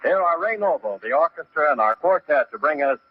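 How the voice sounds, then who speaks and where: Animated, high-pitched male radio announcer. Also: fairly quick, noisy background. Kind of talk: 1950s radio commercial and announcing. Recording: muffled old radio with music behind. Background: music.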